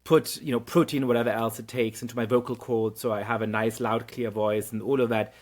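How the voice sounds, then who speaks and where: Man, middle-aged, interview host, mid pitch, thinking aloud, stumbling repeats. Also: fairly even intonation, fairly quick. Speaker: podcast host. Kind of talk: conversational interview.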